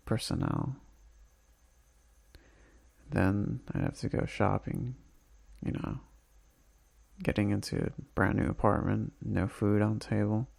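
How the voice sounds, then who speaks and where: A man playing a homesick, tender boyfriend in an intimate ASMR roleplay voicemail, speaking softly and breathily with halting pauses and sighs. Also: lowish voice, gravelly, breathy edge, dry recording, full character voice.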